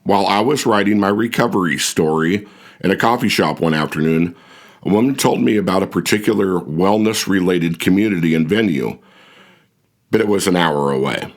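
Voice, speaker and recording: A man narrating an audiobook foreword in a measured, even, scripted reading. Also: deep voice. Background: faint music.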